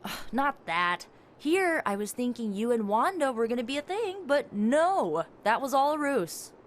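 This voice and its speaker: An actress playing a blunt, teasing young heroine in a video game, talking casually. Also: female voice, fairly high pitch, very animated, measured pace, a little hiss or hum. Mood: mock annoyed.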